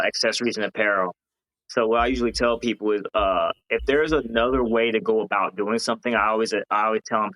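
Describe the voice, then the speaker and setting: Brief podcast guest, male, from Hawaii, bright tone, earnest and rambling. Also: on the low side, poor audio. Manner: earnest, rambling, many fillers and restarts. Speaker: guest, founder of a gaming company. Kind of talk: casual podcast interview.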